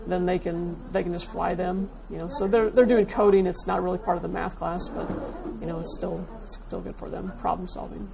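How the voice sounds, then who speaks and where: A woman's voice: on the low side, steady tone, brisk, vocal fry. A woman math teacher talking casually with fillers in a news interview. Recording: noisy room ambience.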